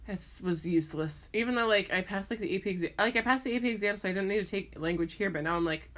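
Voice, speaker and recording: A woman's voice: medium pitch, fast, fairly bright tone. Rambling young woman podcaster. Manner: rambling, full of "like" and self-mocking asides. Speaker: podcast co-host. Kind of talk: casual chat.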